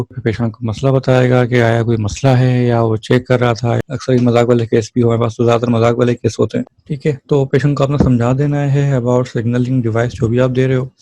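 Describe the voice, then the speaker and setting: A man's voice: medium pitch, dark tone, dry recording. A man lecturing on physiotherapy in an informal, explanatory way, mixing in English terms and checking in with short rhetorical questions.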